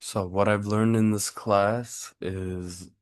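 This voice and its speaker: A man's voice: on the low side, steady tone, slow pace, no echo. A young man from Turkey giving a prepared class speech, earnest, with small restarts and fillers.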